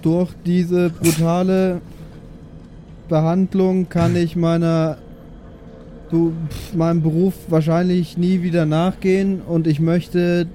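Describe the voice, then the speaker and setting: Man as a mellow, cheeky, pestering prisoner. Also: on the high side, flat delivery, takes it slowly, a little hiss or hum. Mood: polite, pleading. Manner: hesitant, meandering, politely insistent. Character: chatty, cheekily polite male prisoner pestering his guards.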